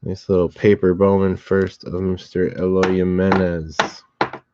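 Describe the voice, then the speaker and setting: A slightly hoarse man hosting a card box break, calmly listing each card and who it goes to. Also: sits low, lo-fi sound, heavy background noise. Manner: steady, unhurried listing of cards and recipients. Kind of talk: relaxed live box-break commentary.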